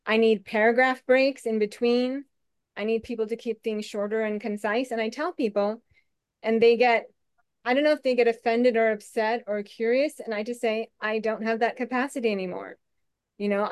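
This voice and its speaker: A woman speaking candidly on a video call, her voice soft and a little shaky, with frequent restarts. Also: a little high, fairly even intonation, normal speed, smooth, dry recording. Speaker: webinar participant. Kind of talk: candid conversational sharing.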